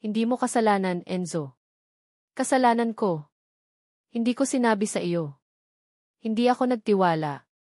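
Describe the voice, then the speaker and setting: A woman's voice: mid-range voice, lively intonation, moderate pace, long pauses, a little mellow, no room sound, lightly acted. A sorrowful young mother in a romantic drama, voiced by a woman narrator. She pleads respectfully and fearfully, in a dry recording. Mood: tearful confession. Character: fearful, guilt-ridden, deferential young mother.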